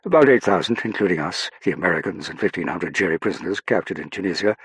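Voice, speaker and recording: A man voicing a brisk, courteous young upper-class English official in an audiobook, breezy, polished and self-assured. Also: low voice, lively intonation, a bit bright, husky, no room sound, heavily acted. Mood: breezy, chatty.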